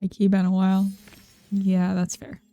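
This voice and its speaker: A woman's voice: medium pitch, completely flat delivery, normal speed, warm tone, no room sound. A woman game streamer chatting casually and reacting while she plays. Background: game music.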